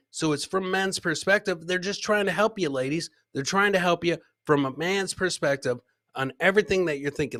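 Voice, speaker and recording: A middle-aged man hosts a live comedy podcast in casual, slangy banter. His voice sits a little high with a sharp, punchy edge, captured close and dry on a podcast mic. He talks like a buddy, doubling back on phrases to drive them home. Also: no room sound. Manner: chummy, slangy, repeats phrases for emphasis. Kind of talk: casual livestream banter reacting to clips and viewer comments. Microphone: close podcast mic on a boom, with headset.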